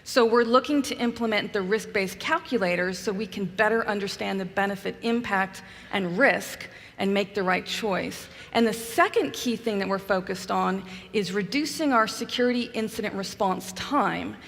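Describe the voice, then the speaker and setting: A woman's voice: mid-range voice, normal speed, gravelly, hardly any pauses, fairly bright tone. A woman with a New Zealand accent, a guest speaker being interviewed on a conference stage, talking in a composed, businesslike way. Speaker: customer guest on keynote stage. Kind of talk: onstage interview. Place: large conference hall stage. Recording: long hall reverb.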